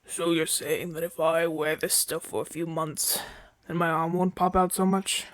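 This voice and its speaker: A man's voice: a little high, level tone, moderate pace, no room sound, lightly acted. A man playing a proud, impatient, flirtatious champion gladiator in pain, brusque and grumbling, in an ASMR audio roleplay. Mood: reluctantly compliant. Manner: brusque, demanding, grumbling, sighing through pain.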